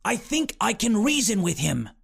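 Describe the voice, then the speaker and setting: A man's voice: sits high, sing-song, moderate pace, creaky, breathy edge, voice pushed up, exaggerated voice. A man voicing a polite, earnest young boy in a storybook reading.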